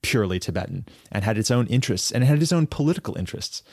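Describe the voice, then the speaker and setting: A man on a podcast explaining a piece of history at length in a casual, thoughtful way, often pausing and restarting his sentences. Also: fairly low pitch, animated, darkish tone, husky, dry recording, studio quality. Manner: thoughtful, halting, many fillers and restarts. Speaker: podcast co-host.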